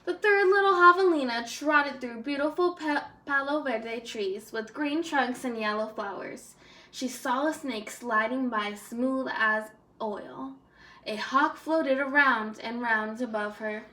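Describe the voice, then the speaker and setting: A woman teacher reading a children's story aloud expressively, deliberate and simple for young listeners. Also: sits high, sharp tone.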